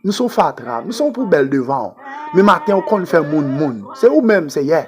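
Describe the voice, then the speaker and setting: Haitian person praying out loud, really fervent n pleading, super animated pitch, some music behind. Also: moderate pace. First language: Haitian Creole. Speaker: prayer leader. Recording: music playing under the voice.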